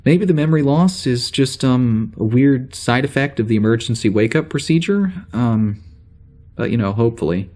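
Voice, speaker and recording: A man playing a flustered security officer on a spaceship, recording an informal audio log in a halting, rambling way for an audio drama. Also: medium pitch, animated, darkish tone, natural voice. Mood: casual, uncertain. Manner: halting, rambling, full of hesitations and sighs.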